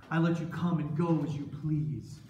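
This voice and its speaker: A man's voice: on the high side, normal speed, darkish tone, lo-fi sound, natural voice. A man acting out a betrayed, wounded master of the house in live storytelling, speaking with reproach and stern authority. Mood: hurt, reproachful. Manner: indignant, emphatic, reproachful. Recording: very echoey room, distant mic, faint noise.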